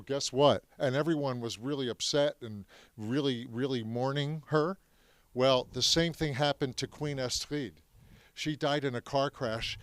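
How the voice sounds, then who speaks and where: A man whose first language is French, telling his family's Holocaust story to a live audience in a lively way, often asking the crowd questions. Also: medium pitch, dry recording.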